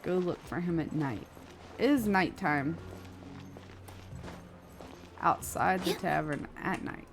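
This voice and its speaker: A woman's voice: fairly low pitch, slow pace, long pauses, slight background noise. A woman game streamer chatting casually and in slang while commenting live on what she plays. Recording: game music behind the voice. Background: video game music.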